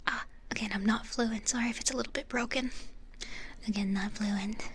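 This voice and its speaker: A young woman from the United States, a VTuber whispering through an ASMR livestream, greeting her chat softly with little kiss sounds. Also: on the high side, fairly even intonation, normal speed, slight background noise. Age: young adult. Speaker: VTuber streamer.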